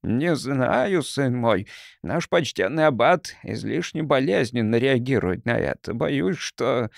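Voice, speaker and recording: A man voicing an elderly monk mentor in an audiobook, croaky, measured and reluctant. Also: medium pitch, animated, no room sound, clean studio sound. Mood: doubtful, reluctant.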